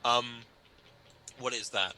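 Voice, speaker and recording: A man's voice: mid-range voice, fairly even intonation, slow, sharp tone, a little hiss or hum. A man giving a dramatic comedic reading of an article, with an emphatic, mock-indignant, sardonic delivery. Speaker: reader performing a humor article.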